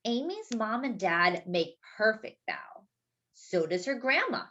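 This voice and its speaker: A woman's voice: on the high side, very animated, slow, sharp tone, muffled recording. A woman hosting story time, reading a picture book expressively for young children, stretching key words and adding simple explanatory asides.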